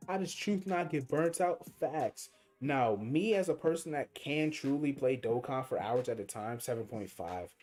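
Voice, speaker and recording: A man's voice: mid-range voice, expressive, normal speed, hardly any pauses, a little mellow. A young man giving rambling, slangy commentary while livestreaming a mobile game, with faint game music behind him. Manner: rambling, slangy, thinking aloud. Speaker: gaming streamer. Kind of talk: casual livestream commentary over gameplay.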